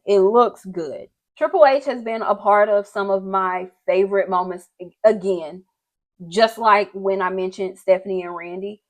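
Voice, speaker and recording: A young woman vlogger chatting casually and gushing in informal slang while she does her makeup. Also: mid-range voice. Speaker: beauty and wrestling vlogger.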